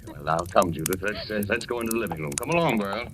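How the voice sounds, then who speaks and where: A man's voice: lowish voice, animated, fast talker, lightly acted. Man as a genial fatherly stepfather, noisy old radio. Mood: hearty welcoming. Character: hearty, composed middle-aged stepfather, soothing and courteous. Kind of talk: 1940s-50s radio drama acting.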